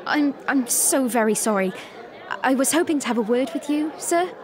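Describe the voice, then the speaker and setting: Eager high-voiced young reporter. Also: female voice, animated, moderate pace. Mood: flustered, eager. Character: eager, plucky young female journalist. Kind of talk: radio drama acting. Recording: music and crowd behind.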